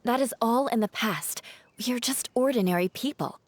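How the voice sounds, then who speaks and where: A woman playing a firm, candid young noblewoman in a video game, composed, measured and formal. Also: on the high side, natural voice. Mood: resolute, reflective. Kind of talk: video game cutscene voice acting.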